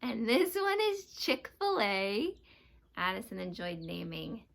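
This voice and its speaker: A woman's voice: mid-range voice, big pitch swings, a little slow, vocal fry, fairly bright tone, slight background noise. A woman teacher giving a read-aloud lesson to young children, explaining simply and asking them questions. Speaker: teacher reading aloud to students.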